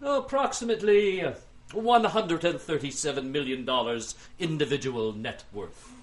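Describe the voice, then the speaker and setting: A man's voice: on the high side, lively intonation, moderate pace, close to an everyday voice. An actor playing a smug, wealthy businessman giving curt, haughty testimony in an audio drama. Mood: smug, guarded. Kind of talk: audio drama courtroom acting.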